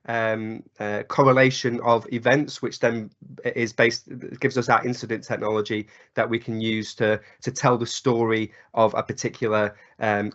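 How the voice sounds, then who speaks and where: A man with a croaky voice from a cold walks through a technical webinar at a mid pitch. He talks in loose spoken phrasing, full of ums and uhs. The call audio sounds slightly dull. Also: a bit bright. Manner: many ums and uhs, restarts. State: hoarse from a cold. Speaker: presenter. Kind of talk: webinar presentation.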